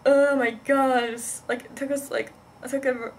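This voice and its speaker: A young woman chatting casually as a vlogger, rambling and halting, constantly dropping "like" into her sentences. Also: sits high, level tone. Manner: halting, heavy use of "like", rambling. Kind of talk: casual rambling chat to camera. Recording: noticeable background noise, amateur vlog sound.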